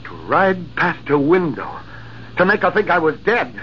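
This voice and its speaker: An actor playing a young cavalry captain driven mad by grief and revenge, in theatrical 1940s radio acting on a dull old recording. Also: male voice, on the high side, sing-song, moderate pace, slight background noise. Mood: bitter. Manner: impassioned, theatrical.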